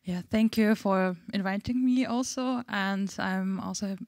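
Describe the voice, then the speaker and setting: Slow, halting woman from Uzbekistan. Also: medium pitch, darkish tone, no room sound, clean studio sound. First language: Russian. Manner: searching for English words, halting. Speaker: artist panelist. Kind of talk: panel talk.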